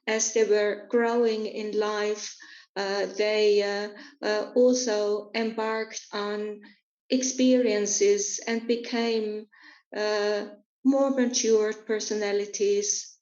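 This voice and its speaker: A woman's voice: mid-range voice, little pitch movement, extremely slow, a little mellow. A woman interpreting an interview into English, hesitant and full of filler sounds. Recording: roomy, dull lo-fi recording.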